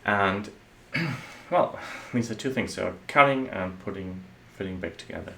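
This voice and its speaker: A man who grew up speaking German, a software architect giving a relaxed, loosely worded talk over his slides, with many fillers. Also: on the low side, a little slow, gravelly, slight background noise.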